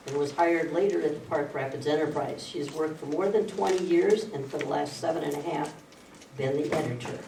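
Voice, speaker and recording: A moderator introduces the evening's speakers in a plain, friendly way, with small joking asides. The voice sounds far from the mic in an echoey room, with clear background noise. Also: mid-range voice, darkish tone. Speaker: moderator for the organizing group. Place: community meeting room. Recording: echoey room audio recorded from afar, with noise.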